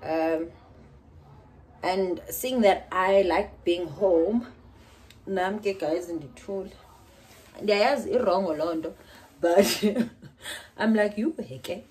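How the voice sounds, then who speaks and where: A young woman with a South African Xhosa accent, talking in a slightly low voice. She rambles casually and switches between languages. She speaks into a phone held at arm's length in a small bedroom. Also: takes it slowly, pauses often, poor audio. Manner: rambling, code-switching, with soft laughs. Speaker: vlogger. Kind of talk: casual vlog chat. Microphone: phone camera at arm's length.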